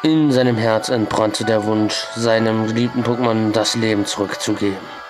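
A man's voice: fairly low pitch, steady tone, normal speed, over music. A young man with a slightly strained voice from a cold, hosting a let's play and reading the game's story aloud with many hesitant fillers. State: has a cold, voice a bit strained. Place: small bedroom with posters. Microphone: headset.

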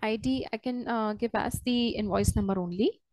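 A woman walking through a software tutorial step by step as a trainer, in a hesitant, halting way. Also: fairly high pitch, dry recording. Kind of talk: screen-share software tutorial, unscripted walkthrough.

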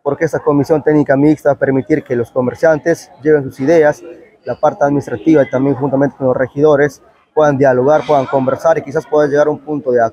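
A man's voice: on the high side, flat delivery, fast, dark tone, dull audio. A man in his thirties with a Peruvian Spanish accent, answering an interview as a town councillor, on a lapel mic in a covered market. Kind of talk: spoken news interview answer. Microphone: clip-on lapel microphone.

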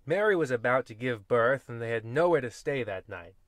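A man's voice: mid-range voice, animated, brisk, fairly bright tone, no room sound, clean studio sound. A man narrating in the style of an old-time horror radio host, played for comedy, with rambling, folksy and wry asides. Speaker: host and storyteller of a radio anthology.

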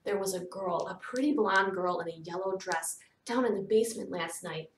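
A woman's voice: fairly low pitch, moderate pace, a little hiss or hum, close to an everyday voice. A humble, nervous young girl, acted by a woman narrator, speaks earnestly in storybook style. She sounds a little away from the mic, in an echoey space. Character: scrappy, nervous, blurting young servant-class girl. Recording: roomy echo, distant from mic.

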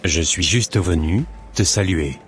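A man's voice: sits low, unhurried, faint music behind. A man voicing an obliging young businessman in a cartoon. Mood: friendly. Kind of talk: scripted cartoon dialogue.

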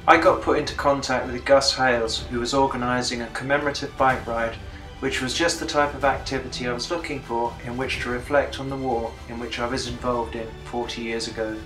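Roomy-sounding older British veteran narrating. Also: male voice, medium pitch, steady tone, brisk, over music. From Britain. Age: 60s. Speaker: veteran narrating a video diary. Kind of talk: reflective voice-over narration.

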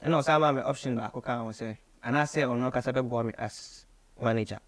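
A young man with a Ghanaian accent speaks at a medium pitch in an interview. He tells his story conversationally, circling back over phrases as he goes. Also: fairly quick. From Ghana, Kumasi. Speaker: musician guest. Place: small radio studio, indoors.

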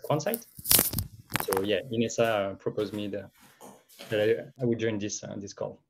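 A man from French Polynesia introducing himself on a video call, halting, with many fillers. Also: on the low side, darkish tone, low-quality recording. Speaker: software maintainer.

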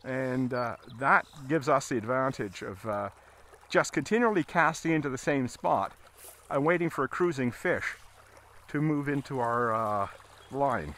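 A man giving a casual, halting fly fishing tutorial as an instructor, talking while he works outdoors. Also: medium pitch, fairly bright tone. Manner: halting, explaining as he casts. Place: outdoors, standing in a river. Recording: outdoor recording with water noise. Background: running water.

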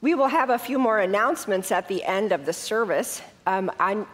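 A woman's voice: medium pitch, lively intonation. Middle-aged woman pastor, a little stuffed up from a cold, friendly and conversational, long church reverb. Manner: warm, conversational announcements then measured liturgical prayer. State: congested with a head cold. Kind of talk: church welcome and liturgical prayer. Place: large church sanctuary.

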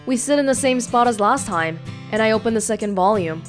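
A woman's voice: on the high side, a little fast. A woman hosting a let's play, reading a visual novel aloud expressively and adding her own reactions. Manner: expressive, acting out dialogue. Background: soft game music with guitar.

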